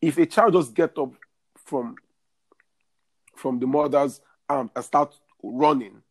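A man's voice: a little high, slow. A man in his thirties, a guest in an interview, giving earnest career advice and often repeating words as he builds a point. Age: 30s.

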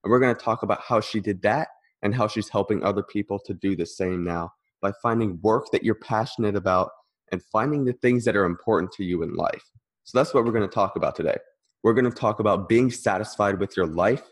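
Upbeat male podcast host. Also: on the low side. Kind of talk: podcast interview hosting.

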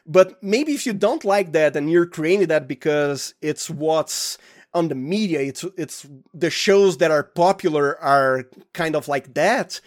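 A man's voice: a little high, a little slow. A man with a Brazilian accent, speaking casually as an interview guest in a hesitant, stop-start, giggly way. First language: Portuguese. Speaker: podcast guest, sound designer.

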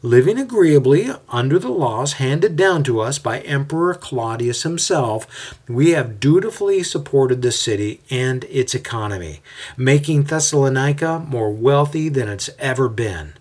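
A man voicing a scheming, smooth-talking mob leader in an audio story, speaking with calculated menace. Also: mid-range voice, animated, moderate pace, a little mellow, voice pushed up. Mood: smooth persuasive. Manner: raised, calculated and coolly menacing.

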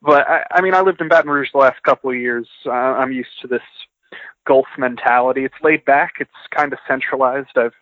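A man's voice: mid-range voice, a little fast, dry recording. A young man from outside Atlanta speaks in a mixed Northern and Southern US accent as a guest on a radio show. He answers in a wry, self-deprecating way, with a mid-range voice. He comes through a thin, tinny phone line that sounds dry. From outside Atlanta, Georgia. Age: young adult. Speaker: sports beat writer, guest. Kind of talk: casual interview answers.

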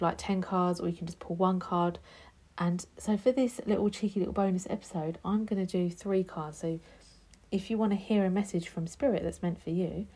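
A woman with a stuffy, nasal voice chats casually through a tarot reading, affectionate and easygoing, now and then slipping into a whisper. Her tone is low, husky and mellow, and there is no studio polish to it. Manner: chatty, affectionate, calls listeners love and darling; drops to a whisper at times. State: stuffy, blocked nose. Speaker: podcast host giving tarot readings. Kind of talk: casual unedited podcast chat. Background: card shuffling.

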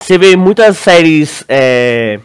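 A Brazilian man with a higher-pitched voice talks as a podcast co-host. His style is casual and full of slang, and he rambles as he works through his theories. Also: lively intonation, takes it slowly, clean tone. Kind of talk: casual chat, theorizing about a series.

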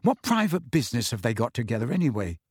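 A defiant teenage boy wizard, read by a man, blunt and indignant in his questions. The voice stays close to the narrator's own, lively in pitch, in a dry, close recording. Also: fast talker, husky, dry recording.

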